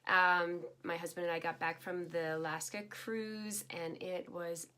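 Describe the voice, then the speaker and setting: A woman's voice: fairly low pitch, level tone, measured pace, bright, forceful tone. A middle-aged woman from Canada with a head cold and a slightly nasal voice, chatting with live viewers as a stamping demonstrator, greeting people and laughing often. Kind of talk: live craft video chat.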